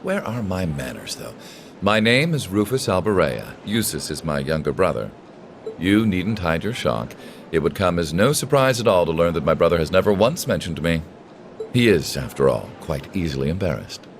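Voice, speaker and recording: An actor playing a suave, teasing aristocratic gentleman and elder brother in a game cutscene, speaking with courtly, eloquent polish. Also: low voice, very animated, normal speed, slight background noise. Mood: warm, teasing. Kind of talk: video game cutscene acting.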